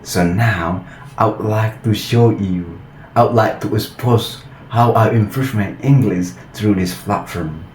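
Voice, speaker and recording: Very low, unhurried Indonesian man with halting English. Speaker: language-learning vlogger. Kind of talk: casual vlog and video-call chat. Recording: some steady background noise.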